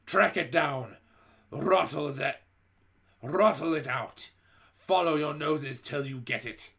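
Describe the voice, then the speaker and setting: A man reading a storybook aloud, voicing the cruel female leader of the witches as she barks slow, menacing orders. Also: fairly high pitch, vocal fry, bright, forceful tone, pitched above the performer's own voice, full character voice. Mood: commanding.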